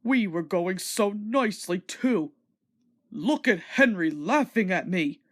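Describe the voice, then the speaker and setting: A woman's voice: medium pitch, animated, takes it slowly. A woman voicing a proud, rude male express engine in a storybook, boastful and grumbling. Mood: weak, dismayed.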